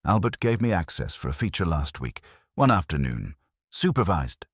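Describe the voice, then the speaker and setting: A self-possessed woman journalist as a man narrating puts her on in an audiobook mystery. She is cool, precise and proud, spoken in a low, husky voice. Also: big pitch swings, moderate pace, dry recording, studio quality, full character voice. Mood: defensive.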